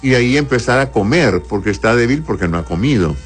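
A man's voice: medium pitch, animated, quick pace, husky, faint music behind. A man with a Honduran accent, a doctor who reads out health questions and answers them informally, often repeating and rephrasing as he explains. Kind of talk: informal medical advice, reading questions aloud.

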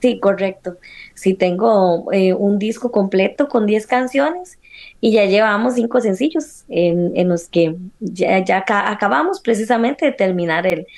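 A woman's voice: mid-range voice, lively intonation, unhurried, a little mellow. A Costa Rican woman in her late thirties giving a fervent faith testimony as an interview guest, using many fillers. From Costa Rica. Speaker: guest, singer.

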